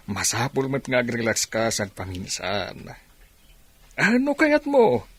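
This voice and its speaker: A man playing an easygoing, teasing uncle in an Ilocano radio drama, with exclamations and drawn-out words. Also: mid-range voice, breathy edge, dry recording, full character voice.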